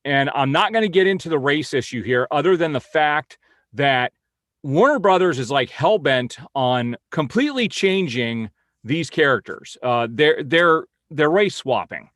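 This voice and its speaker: A man's voice: fairly high pitch, lively intonation, measured pace, fairly bright tone, no room sound. Man giving blunt, dry-miked commentary. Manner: blunt, opinionated. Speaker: host of a comic-book film news vlog. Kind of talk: informal news update and opinion commentary.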